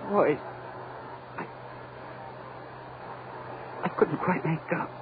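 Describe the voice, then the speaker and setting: A man's voice: a little high, drawn-out words, narrow, tinny audio. A man acting a dutiful, deferential young radio operator on a rocket ship in a 1940s radio drama. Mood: shaken, straining. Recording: thin, faintly noisy 1940s radio recording.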